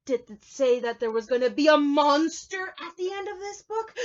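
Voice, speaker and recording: A woman voicing a fretful, furry blue male monster, pleading in a high, drawn-out voice, on a dull lo-fi recording. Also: lively intonation, pushed and bright, heavily acted. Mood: alarmed.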